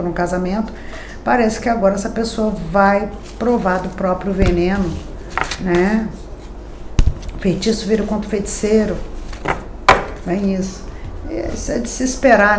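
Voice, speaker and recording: A middle-aged Brazilian woman reads the day's horoscope from her cards, talking straight to the viewers in a lively, emphatic, chatty way. Her voice sits at an ordinary middle pitch. She is recorded in a small home studio that leaves a clear echo and a faint hum of ambience. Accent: Brazilian Portuguese. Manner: chatty and emphatic, addressing viewers directly with tag questions. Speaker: tarot reader. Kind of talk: daily horoscope card reading to camera. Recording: echoey room with faint ambient noise.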